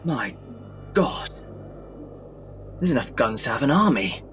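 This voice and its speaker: A man's voice: mid-range voice, sing-song, unhurried, a bit bright, faint music behind. A man playing a protective, tense leader among survivors in a Victorian zombie audio drama. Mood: cautious.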